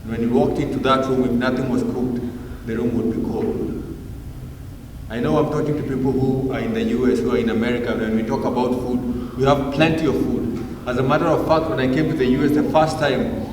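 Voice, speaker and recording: A man's voice: mid-range voice, steady tone, fairly quick, a little mellow, very echoey, big-room sound, distant mic, a little hiss or hum, poor audio. A man with a Kenyan accent telling stories from his life to a live audience in a casual, engaging way. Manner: casual, engaging the crowd with questions. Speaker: guest speaker. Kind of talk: storytelling talk to a live audience.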